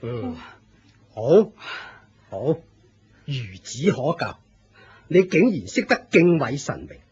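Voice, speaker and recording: Husky, pompous Cantonese cult leader. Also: male voice, medium pitch, big pitch swings, slow, darkish tone, muffled recording, exaggerated voice. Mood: lofty, instructing. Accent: Hong Kong Cantonese. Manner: declamatory, pompous, authoritative. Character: proud older male fire-cult leader, grand and fervent. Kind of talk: Cantonese radio drama acting.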